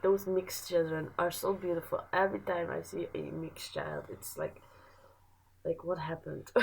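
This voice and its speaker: A young woman from Suriname with a Surinamese accent, whose first language is Dutch, reading facts aloud and chatting about them in a halting, laughing vlog. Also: lowish voice, creaky, a little mellow, husky, low-quality recording. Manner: chatty, halting, restarts words, laughs often. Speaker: vlogger.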